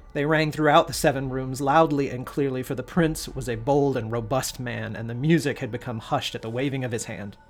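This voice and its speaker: A man hosting a podcast, giving a dramatic, expressive reading of a story. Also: mid-range voice. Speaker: podcast host.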